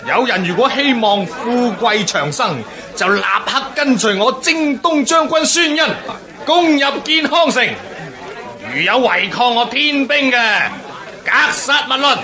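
Bloodthirsty rebel leader, Hong Kong Cantonese radio drama. Declamatory, measured, commanding, crowd behind. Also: male voice, very high voice, lively intonation. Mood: gloating, commanding. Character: boastful, bloodthirsty male rebel warlord rallying his followers. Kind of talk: Chinese historical radio drama acting.

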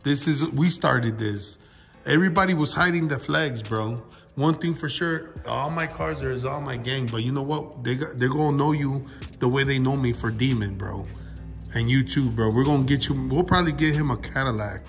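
A man's voice: medium pitch, moderate pace. A man hosts a casual car vlog in an open garage, filmed on a phone. He speaks in loose slang and drives points home by repeating them, with music faintly in the background. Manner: emphatic, repeats himself, calls everyone bro. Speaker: YouTube car channel host. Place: open-fronted metal garage workshop. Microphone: handheld phone camera nearby.

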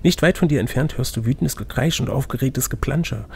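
Guy running a gamebook, gravelly mid-pitched voice, reading bits out and chatting, some background noise. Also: fairly quick, darkish tone. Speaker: game master reading a gamebook aloud. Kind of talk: scripted narration mixed with casual chat.

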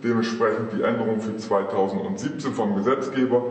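A man's voice: fairly low pitch, a little fast. Man explaining in an echoey room. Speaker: emergency service chief explaining rules. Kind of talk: explanatory demonstration. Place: indoor meeting room.

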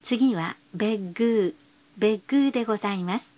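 A woman's voice: medium pitch, measured pace, mellow. A woman reading scripted bus stop announcements in a polite, formal, carefully enunciated way.

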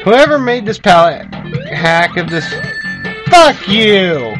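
A man's voice: sits high, sing-song, takes it slowly. A man giving casual, sweary gameplay commentary, chatting to viewers as folks in an informal way. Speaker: gaming video host. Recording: amateur camera filming a TV screen. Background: video game music.

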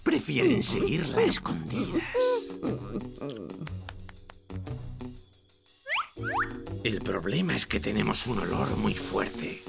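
Swooping, gravelly, vain cartoon lion. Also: male voice, lowish voice, huge pitch swings, moderate pace, full character voice. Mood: explaining. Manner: boastful, theatrical. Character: vain, lazy, cowardly adult male lion. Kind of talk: cartoon dub acting. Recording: music behind the voice.

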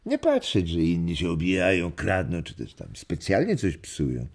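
An actor playing a warm old grandfather who reminisces in an animated way in a children's radio play. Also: sits low, slightly rough, exaggerated voice. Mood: storytelling. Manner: animated storytelling with chuckles and drawn-out words.

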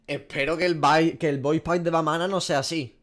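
Animated swearing Spanish gamer. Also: male voice, fairly high pitch, a little fast. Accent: Spanish from Spain. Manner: swears often, animated, self-mocking. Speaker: gaming streamer. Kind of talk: casual reaction commentary. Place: small room indoors.

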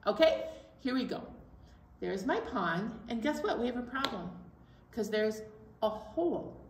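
A woman's voice: a little high, very animated, measured pace, slight background noise. A middle-aged woman leading an activity for young children, often checking in with them by asking questions. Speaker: children's storytime host. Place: indoor library storytime room. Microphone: camera several feet away. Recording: echoey room, distant microphone.

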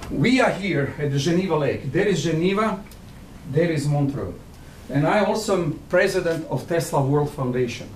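A Croatian man in his sixties delivers a keynote in English, emphatic and provocative. His pitch swings widely and sits a touch high. He sounds distant in a large, echoing hall with some faint noise behind him. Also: expressive, moderate pace. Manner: emphatic, provocative, pacing and gesturing. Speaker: keynote speaker. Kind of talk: conference keynote lecture. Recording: echoey hall from far off.

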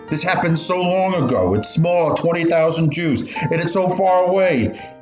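A man playing an animated, pained old survivor. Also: a little high. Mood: questioning, sceptical. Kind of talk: student radio play acting. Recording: dull, roomy recording with music.

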